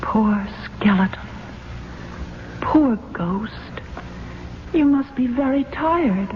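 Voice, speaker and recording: An actress playing a calm, compassionate, fearless young woman in a 1970s radio drama, earnest and composed. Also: on the high side, extremely slow. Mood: curious, pitying. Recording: phone-like vintage radio broadcast, faint noise.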